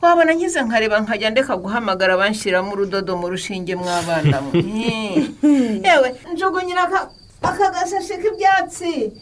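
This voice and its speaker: A woman's voice: on the high side, lively intonation, a little fast, a crowd in the background, natural voice. A woman playing a stubborn, scolding elderly village mother in a radio drama, speaking insistently and exclaiming. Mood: grudgingly curious.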